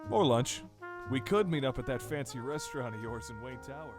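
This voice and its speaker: A man's voice: medium pitch, lively intonation, fairly quick, close to an everyday voice. An actor playing an eager, sociable businessman in an audio drama. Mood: eager, friendly. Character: eager, sociable businessman making lunch plans. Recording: music behind the voice.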